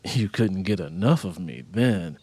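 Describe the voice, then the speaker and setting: A man playing a smooth-talking, teasing husband in audiobook dialogue. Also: deep voice, expressive, a little slow, warm tone, no echo, pitched below the performer's own voice, full character voice. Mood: amorous, teasing. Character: adult husband, amorous, teasing, content, wary of change.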